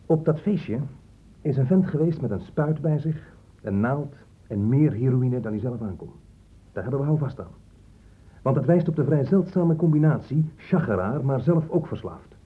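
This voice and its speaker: Blunt police inspector, thin phone-like sound. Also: male voice, mid-range voice, expressive, normal speed, husky. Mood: businesslike. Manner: blunt, sarcastic, emphatic. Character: gruff, hard-line male police inspector. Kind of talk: radio drama acting.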